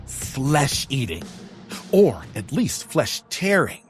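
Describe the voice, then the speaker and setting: A man's voice: mid-range voice, sing-song, slow pace. A man playing a theatrical young adventurer in an audio drama, narrating a quest in florid, dramatic style. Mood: dramatic storytelling. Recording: music behind the voice.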